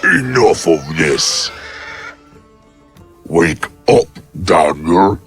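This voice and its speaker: A very deep, husky demon voice, imperious and stern. It moves slowly and grandly, with music underneath, as in a horror audio drama. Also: male voice, lively intonation, exaggerated voice. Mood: stern, urgent. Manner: imperious, deliberate. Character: commanding, stern demon companion with grave old-world diction.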